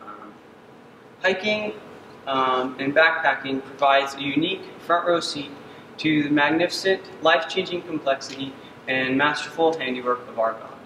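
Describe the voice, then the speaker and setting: A young man from Uganda gives an earnest student presentation, his slightly high voice dotted with ums. He is picked up from a distance in a large hall with a long, roomy reverb. Steady ambient noise sits clearly under him. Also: normal speed. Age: young adult. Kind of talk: senior capstone presentation. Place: dark stage in a hall.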